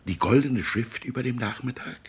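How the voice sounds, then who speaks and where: A man playing a mild, reflective village pastor in a literary radio drama, speaking in a measured, formal way. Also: mid-range voice, slightly rough. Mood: questioning.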